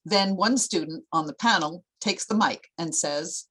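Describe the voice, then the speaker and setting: A woman's voice: lowish voice, a little slow, a bit bright, husky. A woman narrating a screenplay at a table read, reading out scene headings and stage directions.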